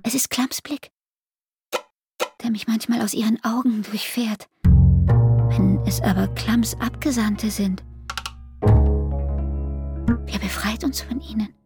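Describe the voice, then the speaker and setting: Radio drama: haunted, intimate woman, higher voice, very unhurried and breathy. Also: steady tone. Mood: anguished, haunted. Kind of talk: German radio drama acting. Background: music.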